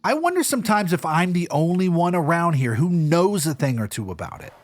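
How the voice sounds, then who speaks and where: A man's voice: on the high side, sing-song, fairly quick. A man playing a defensive, jargon-loving marketing director in a comic musical audio drama. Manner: earnest, rattles off buzzword lists.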